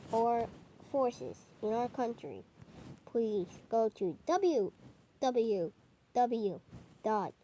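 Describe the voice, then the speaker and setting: A woman reading a homemade mock emergency broadcast in a halting, improvised way with stumbles. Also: on the high side, warm tone, slight background noise. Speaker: narrator of a homemade emergency alert scenario.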